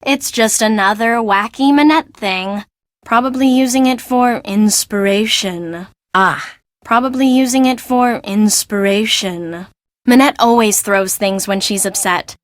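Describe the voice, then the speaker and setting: A woman voicing an exasperated, bossy young assistant to an eccentric fashion designer in a video game, brisk, expressive and sarcastic. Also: medium pitch, no echo, studio quality, natural voice. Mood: explaining, wry.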